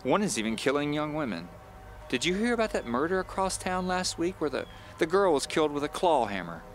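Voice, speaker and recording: A man's voice: fairly high pitch, big pitch swings, brisk, a little hiss or hum, voice pushed up. A man voicing a cheerful, chatty, nosy woman of about seventy in an audio drama, with a folksy, fussing manner. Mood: fretful.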